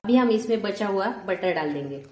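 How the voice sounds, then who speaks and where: A woman in her forties narrating a home cooking recipe step by step, calmly listing ingredients one at a time. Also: mid-range voice, fairly quick. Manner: calm, lists ingredients one by one. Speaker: cooking channel host. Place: home kitchen, echoey. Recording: echoey room with faint kitchen noise.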